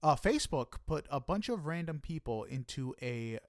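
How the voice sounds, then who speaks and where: A man's voice: fairly high pitch, very animated, normal speed, no echo, studio quality. A man hosting a casual interview, agreeable and a little hesitant in how he talks. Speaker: interview host.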